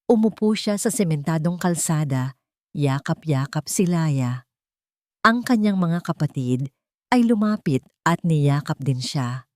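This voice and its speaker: A woman narrating a scripted dramatic story with measured, dramatic phrasing. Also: low voice, expressive, no room sound, clean studio sound.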